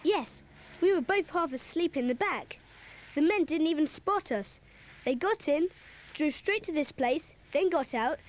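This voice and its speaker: High, animated, earnest schoolboy. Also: female voice, measured pace, poor audio. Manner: earnest, take-charge. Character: sensible bossy schoolboy, leader of a children's club. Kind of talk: children's audio dramatisation acting.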